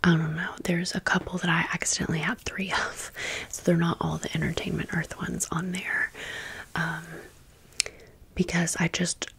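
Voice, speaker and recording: A woman whispering in a breathy, unvoiced voice, chatting informally to viewers as friends in a casual ASMR storytime. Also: fairly low pitch, little pitch movement, normal speed, fairly bright tone. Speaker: ASMR video creator and host.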